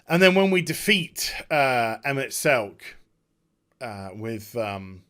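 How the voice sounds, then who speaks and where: A man chatting off the cuff about a video game as a streamer, effusive and overwhelmed, often halting, repeating himself and trailing off. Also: medium pitch, sing-song, a bit bright. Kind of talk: unscripted rambling game review chat.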